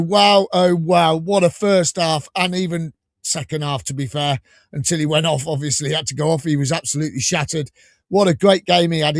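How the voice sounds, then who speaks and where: Excited man hosting live. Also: on the high side, no echo, clean studio sound. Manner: enthusiastic, rambling, laughs often. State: slightly croaky with a cold. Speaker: podcast host. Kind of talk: live fan podcast chat.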